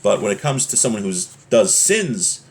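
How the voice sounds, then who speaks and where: A man's voice: lowish voice, very animated, moderate pace. Man teaching an informal religious law class. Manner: explanatory, conversational, checks in with "right?". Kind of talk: informal religious lesson, reading Hebrew texts and explaining them.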